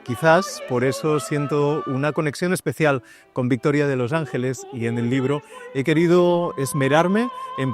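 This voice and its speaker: Measured man narrating over music. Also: medium pitch. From Spain. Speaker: author presenting his book. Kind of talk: documentary narration on location. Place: outdoors in city streets.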